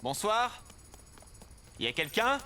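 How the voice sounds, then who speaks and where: A man's voice: high voice, very animated, slow pace, exaggerated voice. A man voicing a puzzled, grumbling adult traveller in a French cartoon dub.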